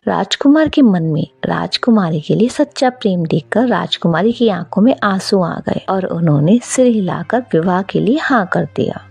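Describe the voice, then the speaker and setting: A woman's voice: lowish voice, expressive, normal speed, vocal fry, few pauses, dark tone, breathy edge, faint music behind. A woman reading scripted narration for a cartoon fairy tale.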